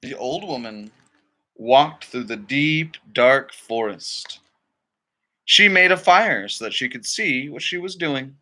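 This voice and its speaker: A man narrates a children's picture book in a very bright, forceful voice. His pitch sits a little high and swings widely, and he phrases things dramatically at an unhurried pace. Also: animated. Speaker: storybook reader. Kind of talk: expressive children's read-aloud narration with casual asides.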